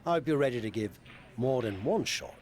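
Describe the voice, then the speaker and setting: An actor playing a pushy, cheeky wrestling promoter in a video game, teasing and goading. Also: male voice, medium pitch, animated, a little fast, a little mellow, slight background noise, natural voice. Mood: wry, goading. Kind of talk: video game cutscene acting.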